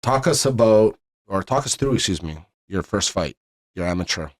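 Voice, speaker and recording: Male podcast host, low register, easygoing, quick to agree. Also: animated. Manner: agreeable, frequent affirmations. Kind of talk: casual interview chat.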